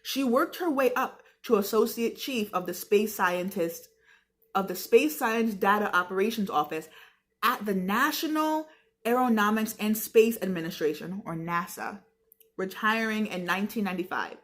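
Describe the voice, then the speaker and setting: A woman's voice: mid-range voice, expressive, moderate pace. An American woman reading a book aloud like a teacher, with casual explanatory asides spoken directly to her listeners in a conversational, chatty way. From New York state, United States.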